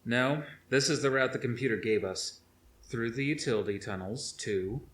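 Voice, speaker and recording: A man's voice: mid-range voice, moderate pace, a bit bright, roomy sound, close to an everyday voice. A man voicing an anxious young tech operative in an audiobook, explaining plainly and a little hesitantly while trying to stay composed. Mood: defensive.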